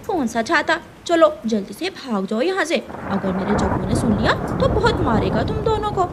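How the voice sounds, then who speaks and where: A woman's voice: high-pitched, very animated, normal speed, background noise. A woman voicing a bossy, threatening mother crow with a curt, scolding manner in a children's cartoon. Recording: loud rain and thunder behind.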